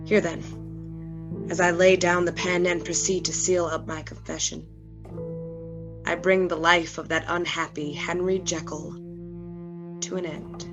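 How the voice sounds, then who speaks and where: A woman acting a doomed, despairing male scientist giving a solemn, measured final confession in a gothic radio drama. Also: fairly low pitch, level tone, highs cut off. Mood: despairing, resigned. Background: loud music.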